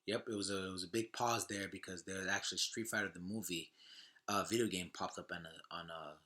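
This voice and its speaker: A man hosting a podcast in casual chat, talking in a slangy, halting way and often repeating himself. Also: sits low, fairly even intonation, sharp tone. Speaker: podcast host.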